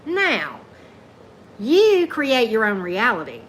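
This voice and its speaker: A woman with a deep voice, hosting a tarot livestream as casual chat, greeting viewers by name and often tagging on "right?". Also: huge pitch swings, slow, a bit bright. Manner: chatty, keeps asking "right?", greets commenters by name.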